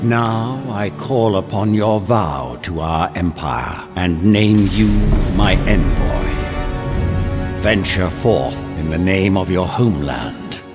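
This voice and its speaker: A very low-voiced, solemn emperor in a game cinematic. He speaks slowly and with stately command, every phrase measured and portentous. Loud orchestral-style music runs behind him. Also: animated. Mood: solemn, proud. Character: solemn, commanding male emperor dispatching his envoy. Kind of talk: dramatic game cinematic monologue.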